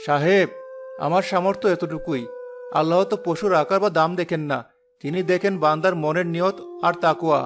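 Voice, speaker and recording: A man playing a poor, devout village father in a cartoon, speaking gently and respectfully. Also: a little high, steady tone, a little fast, lightly acted. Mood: respectful, earnest. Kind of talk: cartoon dialogue. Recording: clear music bed behind the voice.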